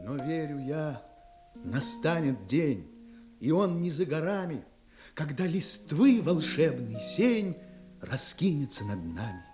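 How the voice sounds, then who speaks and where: A man's voice: a little high, very animated, slow pace. An actor playing a fervently hopeful male poet, reciting lyrical verse over guitar in a 1960s radio play. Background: plucked guitar music.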